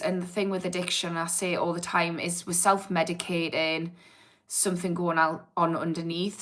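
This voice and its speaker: A woman's voice: fairly low pitch, fairly bright tone. An English woman with a Geordie accent and a slightly posh twang, chatting candidly and informally on a livestream as an addiction therapist answering viewers. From England. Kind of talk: casual livestream chat, reading and answering viewer comments.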